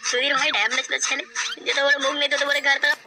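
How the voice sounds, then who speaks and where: A very high, fast-talking cartoon dad, acted out by a woman, angry and foul-mouthed. He rants and berates in crude comic outbursts. Music plays behind him. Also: level tone, close to an everyday voice. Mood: angry scolding. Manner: cursing, ranting, berating. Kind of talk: comedy cartoon dialogue.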